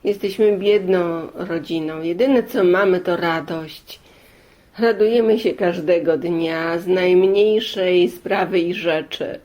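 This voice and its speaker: A man's voice: high voice, slow, a little mellow. A woman voicing a cheerful, hospitable poor father of a village family in a storybook tale, speaking in an open, eager way. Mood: earnest, hopeful.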